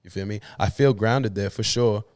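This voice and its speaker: A man speaks in a somewhat low voice, recorded dry and close. He talks casually as a podcast guest, thinking aloud and often restarting his sentences. His pace is loose and searching. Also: darkish tone, dry recording. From Australia. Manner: thoughtful, halting, repeats words while searching for phrasing. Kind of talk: casual conversation.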